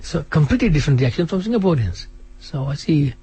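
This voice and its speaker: Male radio guest, rapid delivery, husky low-lit timbre. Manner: fast, animated, restarts often. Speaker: interview guest, author. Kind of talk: casual radio interview. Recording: radio studio.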